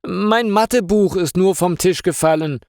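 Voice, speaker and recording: A man's voice: high voice, normal speed, a little mellow, clear, resonant tone, dry recording, clean studio sound, voice pushed up. A man voicing a flustered, hesitant eight-year-old schoolgirl in a storybook reading. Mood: flustered, reassuring.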